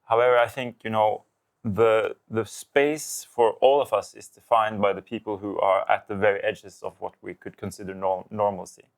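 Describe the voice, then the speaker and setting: A man's voice: lowish voice, fairly even intonation, moderate pace. A man from Norway, a film director, answering interview questions thoughtfully, with many fillers and restarts.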